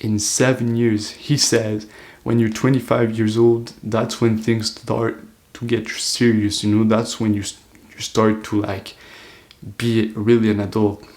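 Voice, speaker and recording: A man's voice: lowish voice, flat delivery, unhurried, darkish tone. An eighteen-year-old man talking to camera in an earnest, loose, reflective monologue, full of "like" fillers. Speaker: self-improvement vlogger.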